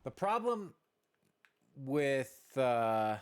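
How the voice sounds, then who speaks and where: A man chatting casually and ramblingly as a game streamer, informal and halting, leaning on key words. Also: mid-range voice, very animated, clear, resonant tone. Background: game music.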